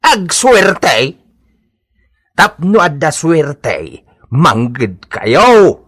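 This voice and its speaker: A man's voice: fairly high pitch, sing-song, very slow, a bit bright, breathy edge, full character voice. An actor playing a grumbling, scolding father in a radio comedy drama, nagging and exclaiming with an Ilocano accent. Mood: scolding, incredulous.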